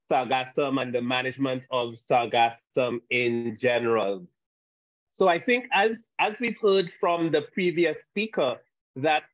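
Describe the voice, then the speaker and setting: A man from Saint Lucia gives a panel talk over a video call, halting, with frequent restarts and fillers. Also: on the high side, no echo. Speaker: guest speaker. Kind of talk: online panel presentation. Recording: dull lo-fi video call audio.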